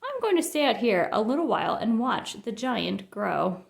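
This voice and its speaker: A woman voicing a boastful, stubborn father bear in a children's storybook, emphatic and excitable. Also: a little high, moderate pace, vocal fry, heavily acted. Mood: breezy. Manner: emphatic, cocksure.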